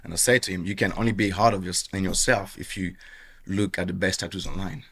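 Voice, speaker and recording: A man's voice: low voice, fairly even intonation, quick pace, a bit bright, husky. A man in his thirties with a French accent, a tattoo artist chatting loosely in an interview, full of fillers. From France. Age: 30s. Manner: rambling, filler-heavy, often says "you know what I mean". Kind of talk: casual podcast interview.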